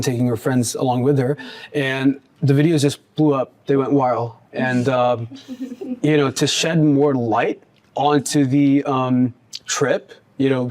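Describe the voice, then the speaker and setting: A man hosts a podcast interview in a relaxed, easygoing way. His voice sits at an ordinary middle pitch for a man. He asks friendly questions and pads them with fillers as he goes. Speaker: podcast host. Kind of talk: casual interview. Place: studio in Dubai.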